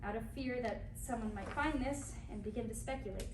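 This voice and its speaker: A woman's voice: fairly high pitch, a little fast, lightly acted. A woman playing an anxious, lovelorn 1920s painter confessing in letters, earnest and careful, in a staged play reading. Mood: anxious, yearning. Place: indoor room at a staged reading. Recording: echoey room, distant mic, clear noise.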